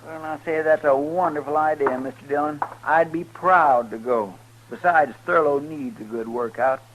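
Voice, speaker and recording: Man playing a high-voiced, folksy sidekick. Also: moderate pace, natural voice. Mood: cheerful. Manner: talkative, homespun, stammering slightly. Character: folksy, eager, deferential male deputy sidekick. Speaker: supporting actor. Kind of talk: 1950s radio western acting. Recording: noisy 1950s radio recording.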